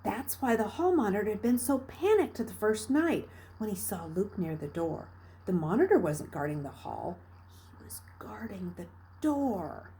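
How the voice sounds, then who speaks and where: A woman reading a children's novel aloud with expressive, dramatic narration, moving between hushed passages and emphatic bursts. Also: mid-range voice. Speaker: read-aloud storyteller.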